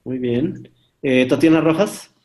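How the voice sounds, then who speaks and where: A man leading an online workshop on a video call, briskly calling on each participant in turn. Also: a little high, a little mellow. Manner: brisk, calls on participants one by one. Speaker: workshop instructor.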